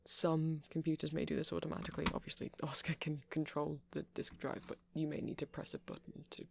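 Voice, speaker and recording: A man playing a nerdy vampire in an ASMR roleplay, rambling through explanations in a hesitant, meandering way with a creaky voice. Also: on the high side, little pitch movement, brisk, background noise. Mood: earnest explaining. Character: nerdy male vampire, rambling tech enthusiast, gentle boyfriend. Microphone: close mic, dry.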